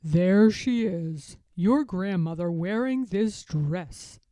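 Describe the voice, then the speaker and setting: A woman voicing a gentle old grandfather bear from a children's storybook, speaking fondly and with emphasis. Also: fairly low pitch, lively intonation, slow pace, dry recording, studio quality. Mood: fond, steady.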